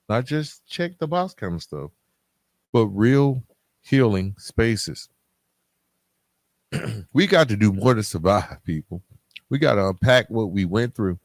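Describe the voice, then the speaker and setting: Low-voiced man, emphatic podcast host. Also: no echo. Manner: emphatic, plain-spoken, speaks straight to listeners; colloquial, streetwise phrasing. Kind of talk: candid motivational podcast monologue.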